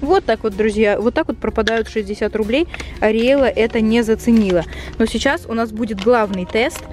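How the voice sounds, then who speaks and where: A young woman in her twenties, chatty and lively, talking to viewers and cooing at her cat in a car. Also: on the high side. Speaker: pet vlogger. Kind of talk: casual vlog talk to viewers. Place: inside a car at night.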